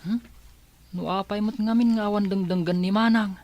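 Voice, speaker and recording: A young man plays an earnest son with an Ilocano accent, talking softly and with concern. His voice is mid-pitched and sounds dry and close. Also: fairly even intonation, moderate pace, no echo. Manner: soft, concerned. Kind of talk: Ilocano audio drama.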